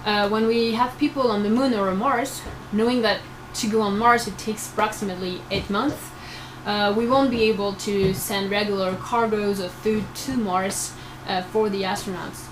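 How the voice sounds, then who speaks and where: A woman's voice: medium pitch, steady tone, normal speed. A woman in her twenties giving explanatory answers as a research crew member, speaking to students. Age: 20s. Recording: noisy room recording.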